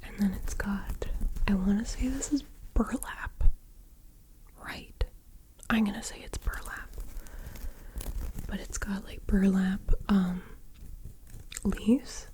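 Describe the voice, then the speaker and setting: A woman rambling in a half-whispered, soft-spoken ASMR style, breathy and unhurried, talking close to a sensitive mic. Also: mid-range voice. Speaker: ASMR creator.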